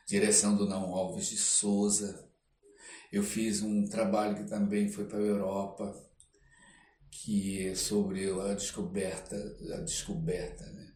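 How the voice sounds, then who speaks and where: An older man with a Brazilian accent, telling personal anecdotes as an interview guest in a halting, reflective way. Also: sits low, a little mellow. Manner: halting, reflective, frequent tag questions. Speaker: interview guest, actor and storyteller. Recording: roomy echo, clear background noise.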